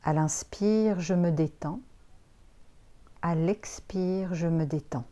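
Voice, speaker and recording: Female yoga nidra guide: very unhurried, calm, dark and relaxed tone. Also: on the low side, highs cut off. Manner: calm, unhurried, soothing instructions with pauses.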